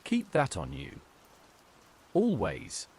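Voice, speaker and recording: A man's voice: mid-range voice, wildly swooping pitch, takes it slowly, darkish tone, slightly rough, full character voice. A man voicing a tired, stern older diner waitress in a horror story, giving grave, cryptic instructions.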